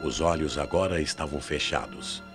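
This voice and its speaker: A man with a Brazilian accent narrating a radio mystery drama in a solemn, theatrical way with deliberate phrasing. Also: extremely low pitch. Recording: old AM radio tape, music underneath.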